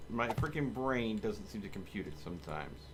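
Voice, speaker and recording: A man's voice: fairly low pitch, lively intonation, moderate pace, noisy background. A man hosting a gaming livestream, chatting casually as he plays and sounding mildly exasperated, with some light swearing. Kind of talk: casual gameplay stream chat.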